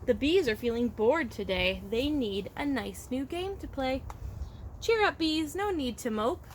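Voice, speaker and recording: Female childcare storyteller, fairly high voice, expressive rhyming read-aloud, faint background noise. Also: measured pace. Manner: expressive, rhythmic rhyming delivery. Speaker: childcare worker reading to children.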